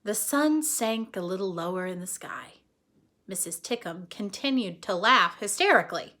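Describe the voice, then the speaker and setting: A woman reading a story aloud to children like a teacher, expressively, pausing to explain things simply and check in with her listeners. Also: mid-range voice, lively intonation, sharp tone. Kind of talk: animated read-aloud storytelling with explanatory asides.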